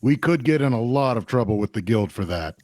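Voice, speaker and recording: A man playing a big, guarded tavern barkeep, measured and plain-spoken, in a fantasy audio drama table read. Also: medium pitch, lively intonation, mellow, no echo, natural voice.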